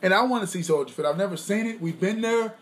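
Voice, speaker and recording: A man co-hosting a podcast with his wife, talking in a loose, boastful, rambling way and clearing his throat often. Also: high voice, fast. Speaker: podcast co-host. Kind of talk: casual chat.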